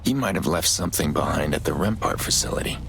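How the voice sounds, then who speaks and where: An actor playing a gruff, weary middle-aged vigilante hacker in a video game, terse and guarded, with a gravelly, breathy voice. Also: male voice, expressive, fast, full character voice. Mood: focused. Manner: terse, low-key, brooding. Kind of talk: dramatic game cutscene acting. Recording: music behind the voice.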